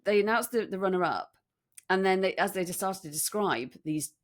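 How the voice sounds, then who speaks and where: A British woman co-hosting a podcast in casual chat, telling stories in an animated, teasing way. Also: medium pitch, moderate pace. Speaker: podcast co-host. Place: room in central London.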